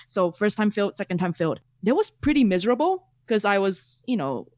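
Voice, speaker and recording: Singaporean guy in his 30s, animated podcast guest, laughs a lot, dry close sound on a video call. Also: high-pitched, brisk, dry recording. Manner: animated, laughs often, repeats words. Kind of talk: casual interview chat.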